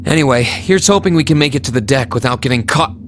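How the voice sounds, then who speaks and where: A young male hero voiced by an actor in a video game, earnest and protective. His mid-pitched voice moves with lively inflection, polite and steady, over faint background noise. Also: quick pace, lightly acted. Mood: focused.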